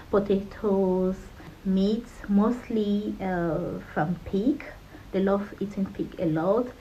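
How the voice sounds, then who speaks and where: A woman's voice: medium pitch, a little mellow, dull audio, slight background noise. A woman from Cameroon in her thirties, talking candidly in a personal vlog with a conversational manner. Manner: candid, conversational, frequent "you know". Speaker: vlogger.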